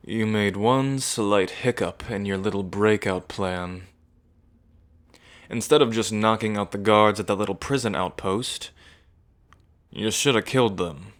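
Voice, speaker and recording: A man's voice: on the low side, natural voice. A man playing a smug, menacing evil prince in an ASMR roleplay, mocking and condescending, drawling like a theatrical villain. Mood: smug mockery.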